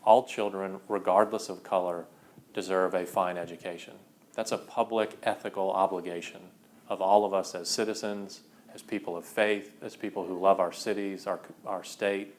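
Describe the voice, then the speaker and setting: Deep, even-toned formal man. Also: normal speed. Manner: formal, declarative. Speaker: interviewee. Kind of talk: earnest documentary interview.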